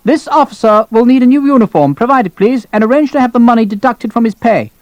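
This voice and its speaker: A man's voice: very high voice, fast, darkish tone, no room sound, highs cut off, pitched above the performer's own voice. A man voicing an irascible, pompous, aristocratic British army colonel in an audiobook, barking and imperious. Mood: irritable, imperious. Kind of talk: audiobook character voice.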